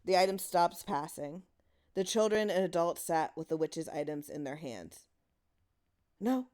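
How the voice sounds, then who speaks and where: A woman's voice: low-pitched, normal speed, darkish tone. A woman narrating a horror short story in a dramatic reading style.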